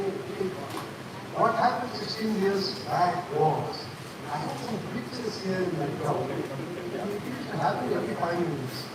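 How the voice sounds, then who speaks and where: A man in his seventies, born in Bangalore, tells a personal story in a relaxed, lightly humorous way. His voice sits fairly high for a man and sounds distant from the microphone. The sound booms around a large reverberant hall, and a steady hiss sits behind it. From Bangalore, India. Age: 70s. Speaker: invited senior speaker. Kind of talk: storytelling at a live event. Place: event hall. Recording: echoey far-off hall mic with noise.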